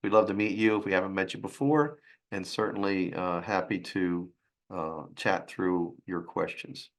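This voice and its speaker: Raspy low-voiced man giving a webinar. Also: fairly even intonation. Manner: halting, with restarts and fillers. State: hoarse from a sinus infection. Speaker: financial advisor presenting a webinar. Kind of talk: webinar presentation.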